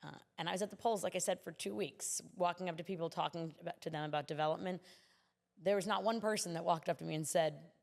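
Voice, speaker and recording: A woman's voice: on the low side, fast, creaky, in a large hall. A woman speaking as a city commissioner in a conversational interview, explaining things earnestly.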